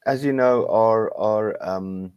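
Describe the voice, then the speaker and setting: A South African man whose first language is Afrikaans, a rock musician guest talking in a halting way with many pauses and restarts. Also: lowish voice, clear, resonant tone, no room sound, muffled recording. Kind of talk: casual interview chat.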